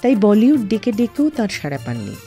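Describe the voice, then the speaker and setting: Female radio storyteller, mellow tone, very animated and dramatic, faint music underneath. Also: medium pitch, fairly quick. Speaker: radio show host. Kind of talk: scripted radio storytelling narration. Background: faint background music.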